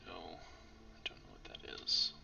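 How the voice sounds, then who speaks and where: A man who is losing his voice from illness, giving casual let's-play commentary in a hoarse, mumbling, often half-whispered way. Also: takes it slowly, sharp tone, recorded from a distance, muffled recording, a little hiss or hum. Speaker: streamer playing a game.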